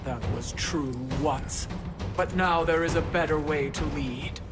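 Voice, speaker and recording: Deep female voice, slow, loyal warrior queen, grave, earnest, game cutscene. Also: over loud music. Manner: grave, measured. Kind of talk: dramatic video game cutscene acting.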